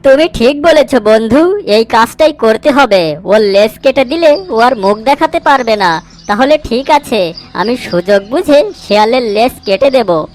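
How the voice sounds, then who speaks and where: Woman playing a high-voiced little mother bird. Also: animated, measured pace, darkish tone, faint music behind. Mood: vengeful, resolute. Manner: earnest, emotional. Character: small female mother bird, pleading, wronged, vengeful. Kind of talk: animated moral story voice acting.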